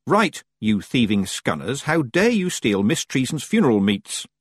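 A man's voice: mid-range voice, sing-song, measured pace, breathy edge, no echo, clean studio sound. A man voicing a stern, bossy and inquisitive young female witch in an audiobook. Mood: stern, demanding. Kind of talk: audiobook character voice.

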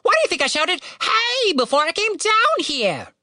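A woman voicing an indignant, sarcastic male giant raven in an audiobook, with screeching, drawn-out delivery. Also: very high voice, sing-song, pushed and bright, dry recording, studio quality, voice pushed up, heavily acted.

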